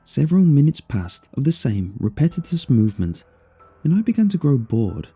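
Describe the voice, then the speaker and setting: A man narrates a first-person horror story in a very dark, mellow voice. It has a husky edge and lively, expressive movement, with music playing underneath. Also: mid-range voice, moderate pace.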